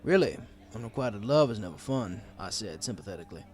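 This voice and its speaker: A man's voice: on the low side, very animated, a little fast, a little mellow. A man narrating a scripted first-person story in a wry, conversational storytelling way. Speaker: audiobook narrator.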